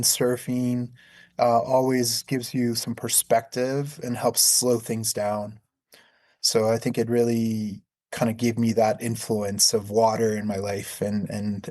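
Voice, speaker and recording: A man from Peru answering interview questions calmly and reflectively, often repeating words as he thinks. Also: medium pitch, dry recording. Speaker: guest, healing arts teacher.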